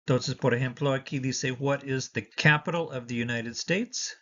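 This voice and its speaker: Slow, patient male teacher. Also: mid-range voice, pushed and bright, lo-fi sound. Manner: slow, patient dictation, switching between Spanish and English. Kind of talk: citizenship test prep lesson.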